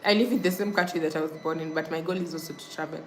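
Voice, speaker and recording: A woman's voice: sits low, fairly even intonation, very fast, darkish tone, a little hiss or hum. A young woman from Kenya hosting a casual livestream, reading viewer comments and repeating thanks and subscribe reminders. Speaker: livestream host. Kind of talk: casual live-stream chat with viewers.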